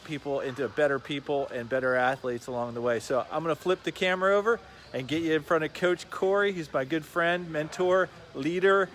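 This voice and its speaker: A man's voice: on the high side, slight background noise. A man hosting a casual livestream interview in an upbeat, chummy way. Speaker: interviewer, real estate agent. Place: sports academy gym.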